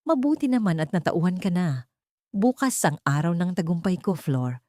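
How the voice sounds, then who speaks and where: A woman's voice: on the low side, very animated, normal speed, dark tone, dry recording. Cold, dismissive husband for audio melodrama, acted by a female narrator, scornful and curt, dry sound. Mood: smug.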